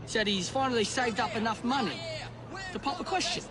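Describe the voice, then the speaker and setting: A man's voice: very high-pitched, sing-song, brisk, crowd noise. A man playing a chatty barber and drinker in a video game. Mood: gossipy, confiding. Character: chatty London barber, a drinker with shaky hands. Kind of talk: video game dialogue acting.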